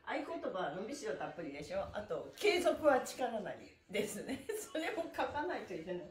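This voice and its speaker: A woman's voice: mid-range voice, sing-song, brisk. A middle-aged woman leading a follow-along stretch session as instructor, cheerful and sing-song, chanting counts while moving. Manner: physically active while talking. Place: small indoor room with bare wall, on a mat. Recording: roomy, echoing indoor sound.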